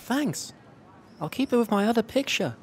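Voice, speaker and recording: A man voicing a shy, unsure young creature in an animated motion comic. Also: very high voice, moderate pace, a little hiss or hum. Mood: grateful.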